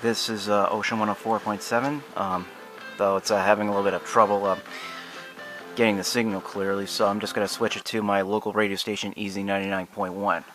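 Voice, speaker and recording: An American man giving a casual, unscripted product demonstration in a halting, hesitant way with drawn-out pauses. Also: on the low side, level tone, music in the background, rough recording. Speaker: product reviewer.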